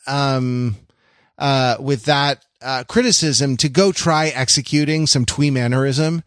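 Man musing haltingly, low-pitched, dry recording. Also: steady tone, a bit bright, clean tone, dry recording. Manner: hesitant, meandering, self-interrupting. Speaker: podcast co-host. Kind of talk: casual film discussion.

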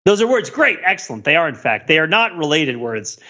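A man's voice: a little high, big pitch swings, brisk, pushed and bright. An American man co-hosting a podcast, explaining things in casual chat like an enthusiastic geek. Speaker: podcast co-host. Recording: dull, band-limited sound.

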